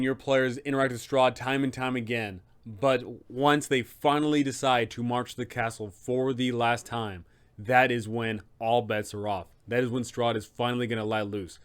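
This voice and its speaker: A man with a mid-pitched voice hosts a tabletop game guide. He explains things informally, with emphatic, opinionated advice for game masters. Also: clear, resonant tone.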